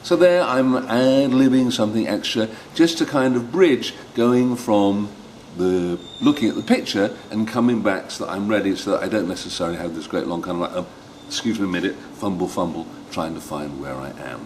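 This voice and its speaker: A man giving an instructional demonstration as a storyteller, speaking expressively and pausing for emphasis, with some background noise. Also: lowish voice, darkish tone. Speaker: storyteller teaching read-aloud technique.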